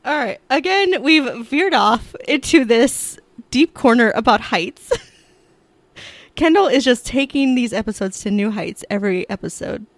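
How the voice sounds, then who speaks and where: A woman's voice: on the high side, expressive, unhurried, no echo. A woman co-hosting a podcast in casual chat, upbeat and teasing, with frequent restarts. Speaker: podcast co-host.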